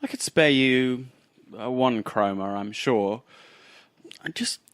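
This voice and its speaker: A man voicing an aloof, politely dismissive female crime boss in a cyberpunk game, speaking in a measured way. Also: medium pitch, expressive, a bit bright, smooth, dry recording, clean studio sound. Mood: measured, cagey. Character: aloof, cagey crime boss called Duchess, a woman.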